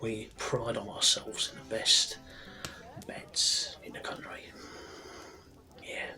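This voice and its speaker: A man's voice: lowish voice, completely flat delivery, slow pace, rough recording. Whispering friendly fantasy barkeep acted by a man. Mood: chatty, reassuring. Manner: informal, chatty, reassuring. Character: friendly, easygoing male fantasy tavern barkeep. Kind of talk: soft-spoken to whispered ASMR roleplay. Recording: soft background music and tavern ambience. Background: music, tavern ambience.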